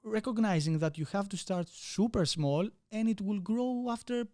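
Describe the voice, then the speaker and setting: A man's voice: high voice, moderate pace, dark tone, no room sound, studio quality. A man with a Greek accent hosting an interview, asking curious questions and searching for his words. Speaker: podcast host.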